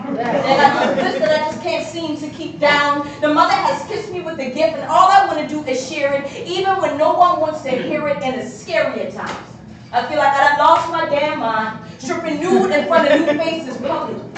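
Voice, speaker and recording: A woman's voice: high-pitched, moderate pace. A young woman performing spoken word poetry live, with rhythmic, emphatic delivery and easy banter with the crowd. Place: art gallery, indoors, live audience. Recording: echoey hall, distant and noisy.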